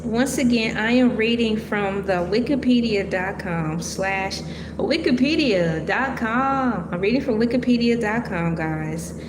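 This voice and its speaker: A woman from Michigan with a slight Southern accent, casually reading about a cartoon and making playful, rambling asides. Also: mid-range voice. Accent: Southern US, Georgia-tinged. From Michigan, USA. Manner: rambling, playful, stops to comment on names. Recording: echoey room with long reverb and noise.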